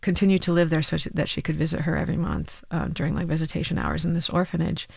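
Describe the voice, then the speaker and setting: Gravelly-voiced reflective woman musician. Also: low-pitched, sing-song, fast talker, a little mellow, no echo, clean studio sound. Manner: reflective, hedging with many restarts and "like". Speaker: musician guest. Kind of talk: radio interview.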